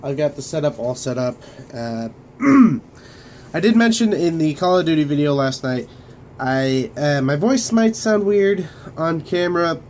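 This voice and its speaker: A young man hosting a casual room tour vlog of his bedroom studio, talking haltingly with many fillers and restarts while coming down with a cold. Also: fairly high pitch, lively intonation, darkish tone, slight background noise. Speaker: Lego channel host.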